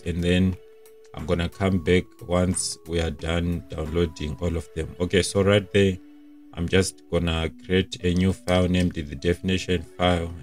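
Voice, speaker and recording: A man's voice: low voice, darkish tone. A young man presenting a casual step-by-step tech tutorial in an informal, explanatory way.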